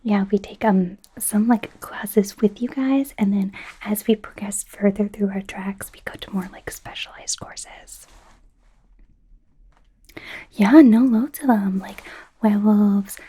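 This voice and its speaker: A woman acts out a young female werewolf studying to be a doctor, in an ASMR roleplay. She speaks in a breathy whisper, soft and mellow, at an unhurried pace. Her manner is chatty, awkward and gently sarcastic. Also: mid-range voice. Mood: chatty, amused.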